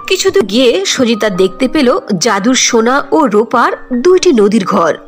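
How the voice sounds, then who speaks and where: A woman's voice: a little high, sing-song, unhurried, soft background music. A woman narrating a scripted cartoon fairy tale as the storyteller.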